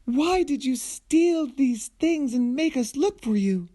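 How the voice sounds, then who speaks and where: Man doing a storybook queen, high falsetto-like pitch, shrill, distressed, indignant, exclaiming. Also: lively intonation, slow pace, dark tone, full character voice.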